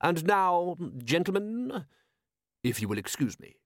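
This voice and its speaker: A man voicing a dry, high-pitched patrician ruler. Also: very animated, a little slow, no echo, exaggerated voice. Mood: dryly sardonic. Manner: precise, sardonic, formal. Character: dry, shrewd, coolly commanding male city ruler. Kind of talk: audiobook character voice.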